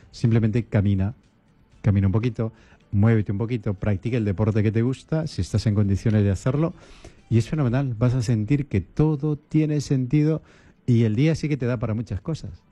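Calm, dark-voiced man giving life advice. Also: fairly low pitch, brisk, no room sound, soft background music. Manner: calm, didactic, repeats lists in steps. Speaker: priest. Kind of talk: radio advice talk.

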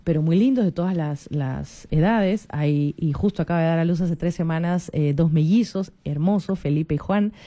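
A woman in her forties with a Peruvian Spanish accent talks on a radio program. Her voice is mellow and soft. She is chatty, keeps adding little tag questions and restarts her phrases as she goes. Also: sits low, moderate pace, few pauses. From Peru. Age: 40s. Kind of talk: casual radio talk.

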